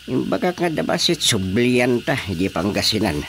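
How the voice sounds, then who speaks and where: A man's voice: medium pitch, very animated, normal speed, fairly bright tone, a little hiss or hum. An actor with an Ilocano accent playing an older husband and father in a radio drama. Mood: conversational.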